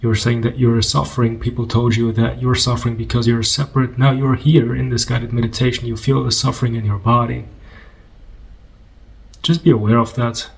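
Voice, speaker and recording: A man leading a guided meditation in an informal, conversational way, unhurried and gently instructing, with pauses. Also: medium pitch, little pitch movement, gravelly, rough recording.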